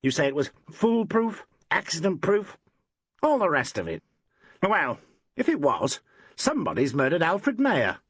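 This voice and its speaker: A man's voice: fairly high pitch, wildly swooping pitch, measured pace, fairly bright tone, breathy edge, dry recording, pitched above the performer's own voice, full character voice. A man voicing a needling, theatrical actor who coos and teases. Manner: teasing, cooing.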